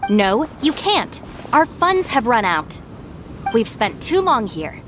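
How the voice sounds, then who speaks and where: A woman's voice: a little high, very animated, takes it slowly, background noise. A woman playing a sensible, stern young gang deputy in an anime game, speaking in a firm, no-nonsense way. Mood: exasperated.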